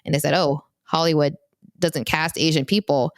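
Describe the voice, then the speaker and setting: A young woman co-hosting a family podcast, her voice sitting very low for a woman. She rambles through her thoughts with plenty of fillers and false starts, close to the mic in a dry, dead room. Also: fairly even intonation, normal speed, dry recording. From United States. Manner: rambling, many "like" fillers and restarts. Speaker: podcast co-host. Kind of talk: casual chat among siblings.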